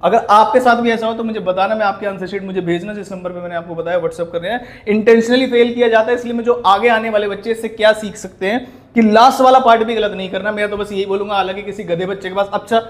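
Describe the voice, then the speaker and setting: High-pitched Indian man, indignant and emphatic. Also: steady tone, quick pace. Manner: indignant, scolding, emphatic, rhetorical questions. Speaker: accounting teacher. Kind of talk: explanatory video commentary on exam answer sheets.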